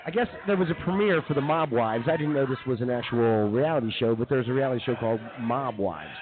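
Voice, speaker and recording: A man's voice: medium pitch, fast talker. A man co-hosting a radio show and casually recounting news stories, with a rambling, hesitant delivery. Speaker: co-host, police news segment. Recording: tinny phone-like sound.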